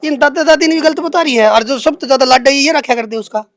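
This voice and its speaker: A man's voice: extremely high pitch, fast, a bit bright, dry recording, studio quality. A young man with a Haryanvi accent defending himself in an unscripted interview, speaking emphatically and colloquially with repeated phrases. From Haryana, India. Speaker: interviewee answering accusations against him.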